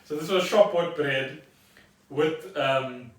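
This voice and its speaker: A man's voice: fairly high pitch, slow pace. Man, roomy and distant sound. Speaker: podcast co-host. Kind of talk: casual podcast chat. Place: indoors at home.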